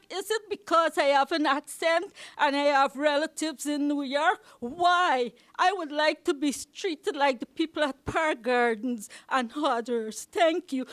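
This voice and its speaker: A woman's voice: sits high, clear, resonant tone, no room sound. A woman resident making an earnest public comment at a housing board meeting, repeating her points as she pleads her case. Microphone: meeting microphone.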